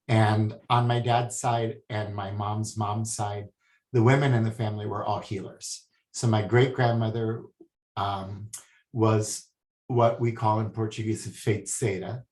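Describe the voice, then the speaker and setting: A man from Hawaii, a guest speaking about healing in a soft, hesitant way with many pauses. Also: on the low side. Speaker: guest, spiritual healer. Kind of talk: casual interview talk. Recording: echoey room.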